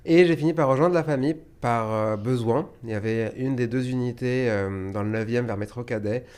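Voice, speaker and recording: A man's voice: medium pitch, fairly quick, a little mellow, clear, resonant tone. A man from France, born in Tunisia, speaking emphatically and conversationally as an interview guest, telling stories. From born in Tunisia, grew up in France. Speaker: podcast guest, hotelier.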